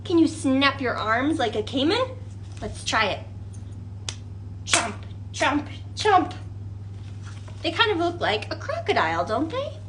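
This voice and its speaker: A woman's voice: high voice, sing-song, slow pace, pauses often, noisy background. A woman leading a children's storytime, reading a picture book expressively and putting questions to young listeners.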